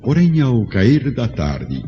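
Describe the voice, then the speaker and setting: A man's voice: medium pitch, huge pitch swings, slow pace, mellow. A man narrating a scripted audio drama in a dramatic storytelling style. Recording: long reverb, dull audio, background music.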